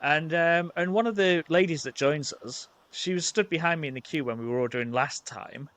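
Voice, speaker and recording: A man's voice: on the high side, a little fast, a bit bright. A man hosting a podcast, chatting casually in a rambling, self-deprecating way with plenty of fillers. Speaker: podcast host. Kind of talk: casual chat.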